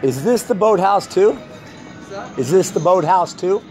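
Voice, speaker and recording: A man's voice: sits high, animated, measured pace, darkish tone, faint music behind. A man in his forties giving a casual, unscripted walk-around vlog tour, rambling and thinking aloud into a handheld phone. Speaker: vlogger reviewing local bars. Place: outdoors in parking lot and around bar. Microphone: handheld phone at arm's length. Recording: noisy handheld phone recording outdoors.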